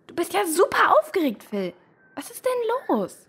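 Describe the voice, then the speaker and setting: A woman's voice: very high voice, huge pitch swings, normal speed, a bit bright, exaggerated voice. A female actor playing an easygoing, curious young girl in a children's audio drama. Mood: curious, cheerful.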